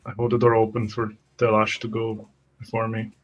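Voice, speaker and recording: Lowish-voiced male roleplayer. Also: completely flat delivery, normal speed, fairly bright tone, muffled recording. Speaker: tabletop roleplay player. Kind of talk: in-character description of actions.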